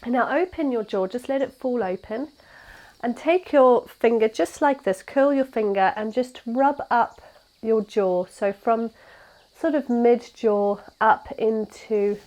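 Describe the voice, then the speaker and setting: A young woman teaching a gentle yoga class, her voice congested and nasal from a cold, guiding slowly and calmly. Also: fairly high pitch. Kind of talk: guided yoga class instruction.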